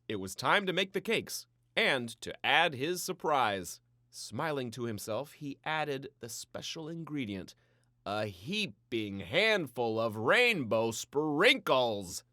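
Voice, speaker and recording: A man reading a scripted children's story in a playful, theatrical way with dramatic pauses. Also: mid-range voice, sharp tone, no room sound, studio quality. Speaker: storybook narrator.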